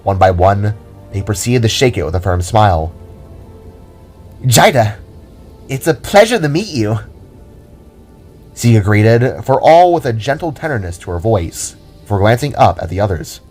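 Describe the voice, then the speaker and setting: A man's voice: on the low side, animated, moderate pace, darkish tone, faint music behind. A man reading scripted, dramatic story narration as the narrator of an audio drama.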